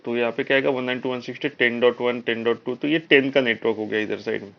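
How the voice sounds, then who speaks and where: Man, Indian accent, tech instructor, explanatory lecture, English terms mixed in, average pitch, dull lo-fi recording. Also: fairly even intonation, fast, creaky. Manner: explanatory, with frequent check-in tags; mixes English technical terms into speech. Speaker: networking instructor. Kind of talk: live online technical lecture. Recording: dull, lo-fi online class audio.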